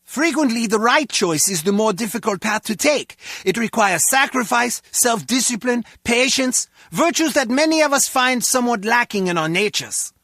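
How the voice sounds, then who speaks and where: A very high, bright voice with a German accent, an earnest henchman from a spy video game. He is pedantic and excitable, recorded dry and close. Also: moderate pace, hardly any pauses, no echo, full character voice. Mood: earnest lecturing. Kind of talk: video game character dialogue.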